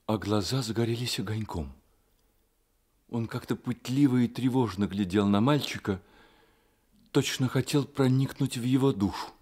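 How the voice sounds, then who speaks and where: A grave storyteller narrates a Soviet radio play. He reads literary prose dramatically in a medium-pitched voice. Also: darkish tone.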